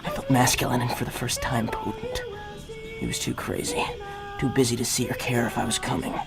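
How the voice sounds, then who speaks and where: Man playing a gravelly, brooding army captain narrating. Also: medium pitch, huge pitch swings, a little fast, over loud music. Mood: dark resolve. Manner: grave, hard-boiled. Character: brooding, war-weary male army captain, haunted and cynical. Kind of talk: scripted first-person radio drama narration.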